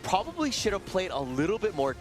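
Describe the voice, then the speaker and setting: Fast-talking, lively male esports analyst. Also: high-pitched, music in the background. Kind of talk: post-game analysis desk chat.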